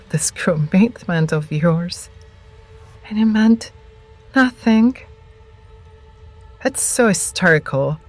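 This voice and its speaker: A woman's voice: low voice, heavily acted. A woman playing an ancient, starved vampire witch in an audio drama, cruel and mocking, with theatrical menace. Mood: gleeful cruelty. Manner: slow, taunting, theatrical menace.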